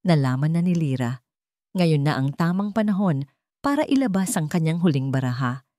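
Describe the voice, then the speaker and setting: A woman's voice: sits low, lively intonation, normal speed, mellow, no echo, clean studio sound. A woman reading scripted narration for a dramatic story, as its storyteller.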